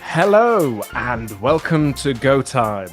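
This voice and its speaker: A man's voice: medium pitch, sing-song, takes it slowly, soft background music. A man hosting a live podcast, joking and self-mocking as he runs the show. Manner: playful, self-mocking, talks to himself in two voices. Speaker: podcast host. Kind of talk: live podcast banter and intro.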